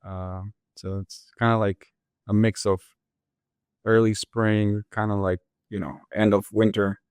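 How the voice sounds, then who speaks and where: A man's voice: on the low side, level tone, measured pace, a little mellow, smooth, no echo, clean studio sound. Earnest man. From Latin America. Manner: hesitant, many fillers, earnest. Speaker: guest, engineer. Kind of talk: interview answers.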